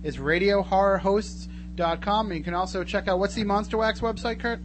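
A man's voice: high voice, fairly quick. A man hosting a live radio show in a casual, conversational way. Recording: clear background hum and noise.

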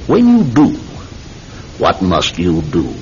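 An actor playing a sinister, controlled hypnotist posing as a polite tobacconist, with deliberate, theatrical delivery in an old-time radio drama. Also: male voice, deep voice, huge pitch swings, exaggerated voice. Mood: commanding. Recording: muffled, hissy old radio recording.

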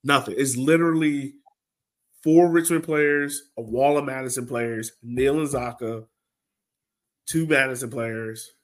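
A man's voice: mid-range voice, measured pace. A man co-hosting a sports podcast, recapping a match in a colloquial, exasperated way. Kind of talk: casual post-match soccer discussion.

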